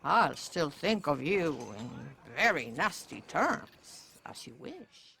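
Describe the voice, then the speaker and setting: An actress playing a kindly, wise nun in a video game, gentle and thoughtful with quiet humor. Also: female voice, low voice, very animated, gravelly, exaggerated voice. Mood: gently encouraging. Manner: gentle, thoughtful, measured phrasing. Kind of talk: cinematic video game acting.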